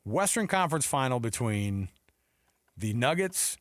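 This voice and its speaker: A man co-hosting a sports radio show with teasing, excitable, conversational banter. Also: medium pitch, measured pace, no room sound, clean studio sound.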